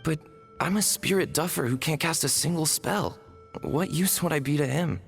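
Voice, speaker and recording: A man's voice: a little high, normal speed, gravelly, soft background music. An actor playing a timid, guilt-ridden young man in a video game, earnest and stammering. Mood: ashamed, earnest.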